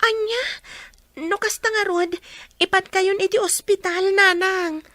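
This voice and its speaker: A dutiful young Filipino daughter in a radio drama. Her voice is extremely high and piercingly bright, recorded dry, with the earnest care of a child looking after her mother. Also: steady tone, unhurried, no echo, exaggerated voice. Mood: worried, urgent.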